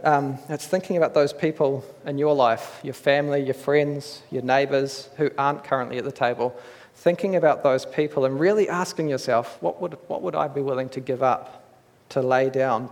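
A man's voice: mid-range voice, vocal fry, a little mellow. New Zealand man preaching in an echoey hall. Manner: earnest, measured, conversational with small restarts. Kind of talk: sermon. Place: church hall.

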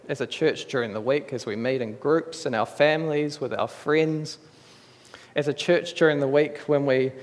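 A man with a New Zealand accent preaching a sermon in an earnest, measured, conversational way, with small restarts. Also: mid-range voice. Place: church hall. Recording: echoey church hall.

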